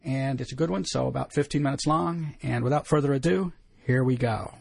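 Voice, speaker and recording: A man's voice: medium pitch, fairly quick, gravelly, darkish tone, slight background noise. A man hosting a podcast, introducing the episode in a hesitant, unscripted way with fillers. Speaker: podcast host.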